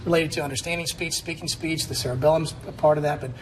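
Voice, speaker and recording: Quick-talking Texan man, a doctor. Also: fairly high pitch, fairly even intonation, slight background noise, rough recording. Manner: fast, hesitant with repeated restarts. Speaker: medical doctor guest. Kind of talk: panel discussion. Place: TV studio.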